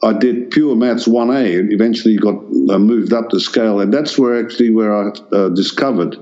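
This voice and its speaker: Halting older Dutch-born man, low mellow voice. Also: muffled recording. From Netherlands. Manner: hesitant, many restarts and fillers. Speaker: artist guest. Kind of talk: interview answers.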